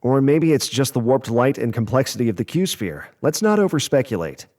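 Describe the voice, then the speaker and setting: Skeptical male spaceship crewmate actor, mid-range voice, level-headed and measured, sci-fi radio play. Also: medium pitch, sing-song, darkish tone, lightly acted. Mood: calm, skeptical. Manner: measured, rational. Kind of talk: sci-fi audio drama acting.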